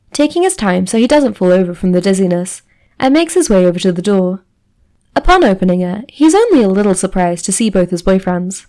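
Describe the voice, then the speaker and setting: A woman narrates a piece of fan fiction at a middle pitch. She reads from a script as a storyteller, moving through description and scene-setting. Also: dark tone. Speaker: fan fiction podfic reader.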